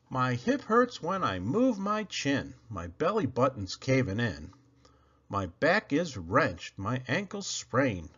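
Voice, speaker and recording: Storybook kid faking sick, played by a man, plaintive and hammy, pitch swooping wildly, slightly dull recording. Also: fairly bright tone, breathy edge, heavily acted.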